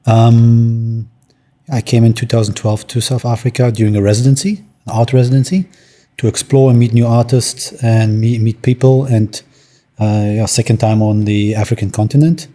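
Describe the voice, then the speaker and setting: A man, an artist speaking in a casual interview, pausing often with ums and restarts. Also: medium pitch, steady tone, dark tone. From Germany. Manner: hesitant, many ums and restarts. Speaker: artist guest.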